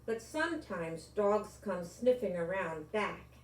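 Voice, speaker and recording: A woman's voice: mid-range voice, animated, slow pace. Woman reading as a blunt, kindly butcher man, echoey. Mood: helpful. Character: busy, gruff but kindly male butcher. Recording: echoey room, distant microphone.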